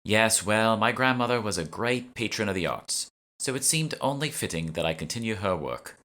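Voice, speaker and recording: A man's voice: lowish voice, animated, normal speed, sharp tone, voice pushed up. A man voicing an imperious, condescending young woman who plays a breezy heiress in an audiobook. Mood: breezy, grand. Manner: breezy, demanding, haughty. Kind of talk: audiobook character dialogue.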